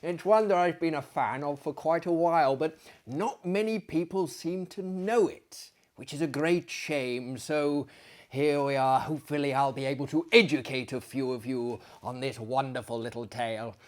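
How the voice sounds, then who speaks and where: A man in his thirties reading a literary tale aloud in a theatrical, gentlemanly manner, with dramatic pauses and chatty asides. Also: on the high side. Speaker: storyteller, host. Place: small book-lined living room, indoors.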